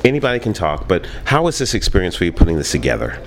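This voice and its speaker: A man's voice: sits low, wildly swooping pitch, fast talker, a little hiss or hum. A man from New York hosting a casual radio interview, playful and laughing often. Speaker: radio host.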